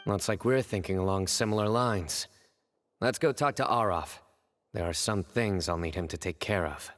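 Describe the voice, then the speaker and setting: A stern male investigator from a video game, voiced by an actor, low and composed. He speaks with measured authority, with soft music behind him. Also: natural voice. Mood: composed, analytical.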